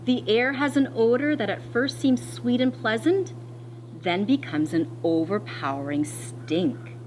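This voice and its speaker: Lively woman teacher reading aloud. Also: on the high side, a little hiss or hum, rough recording. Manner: deliberate, instructive, pausing to explain. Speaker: elementary teacher reading a picture book. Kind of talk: expressive children's read-aloud with explanatory asides.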